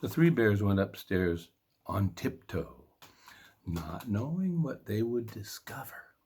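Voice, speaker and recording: Male storyteller, low-pitched, husky, playful, expressive, children's book reading. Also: takes it slowly. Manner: playful, expressive, dramatic emphasis.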